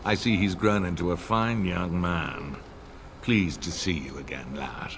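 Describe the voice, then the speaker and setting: A courteous older noble. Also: male voice, deep voice, measured pace, fairly bright tone, slight background noise. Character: older male noble ally, courteous and formal.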